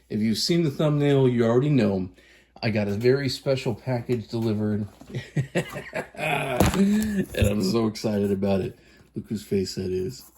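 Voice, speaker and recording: A man in his thirties from Southern California, chatting excitedly and casually as he reviews coffee, with plenty of fillers and restarts. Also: mid-range voice, slight background noise. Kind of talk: casual vlog chat.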